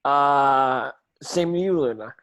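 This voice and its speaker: A man's voice: mid-range voice, slow, fairly bright tone, muffled recording. A man playing an earnest, modest teenage schoolboy hero in an amateur table read. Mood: awkward, questioning.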